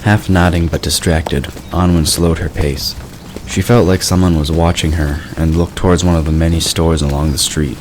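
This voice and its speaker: A man's voice: a bass voice, steady tone, normal speed, a little mellow. A man reading scripted narration for a fantasy audio drama. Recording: clear background noise.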